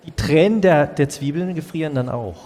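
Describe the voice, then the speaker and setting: A man acts as a placating talk show moderator in an improvised comedy. His voice sits a little high and rings out in a big echoing space. He sounds earnest and curious. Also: moderate pace, a little mellow, natural voice. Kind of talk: improvised comedy radio play. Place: live workshop performance in a reverberant room. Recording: echoey hall with long reverb.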